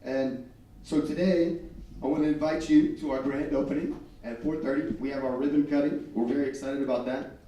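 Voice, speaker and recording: A man's voice: medium pitch, fairly even intonation, fairly quick, warm tone, muffled recording. A man presenting his new restaurants at a business meeting, losing his voice, upbeat and promotional. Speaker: restaurant representative. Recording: echoey room, far from mic.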